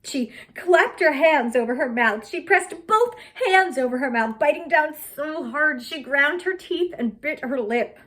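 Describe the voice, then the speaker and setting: A woman actress giving a dramatic reading of a fairy tale, expressive, with big swings in pitch and loudness and hushed quieter moments. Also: unhurried, hardly any pauses.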